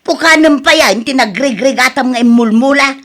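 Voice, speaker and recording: An actress playing an exasperated, worried farmer's wife who scolds and exclaims in an Ilocano radio drama, with an Ilocano accent. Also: female voice, high voice, normal speed, fairly bright tone. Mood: scolding.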